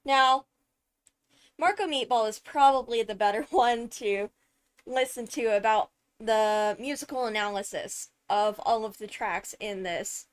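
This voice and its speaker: High-voiced woman, slow casual commentary. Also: clear, resonant tone. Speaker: streamer, host. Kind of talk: casual commentary on a game video.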